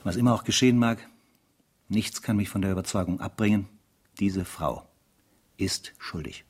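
An actor playing a grim, unshakably accusing diarist in a German radio play monologue, brooding and resolute, with a husky voice. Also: male voice, lowish voice, moderate pace, darkish tone. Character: grim man narrating his diary, unshakably accusing.

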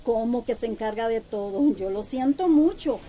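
A woman acts an outspoken villager in a radio drama. Her voice is fairly high yet mellow in tone, with faint ambient noise around it. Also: animated, normal speed, close to an everyday voice. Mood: objecting.

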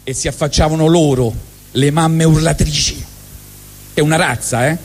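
A man with a Roman accent telling a comic monologue on stage, lively and full of asides. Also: a little high, normal speed. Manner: lively, jokey, chatty asides. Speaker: storyteller on stage. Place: live stage at a benefit evening.

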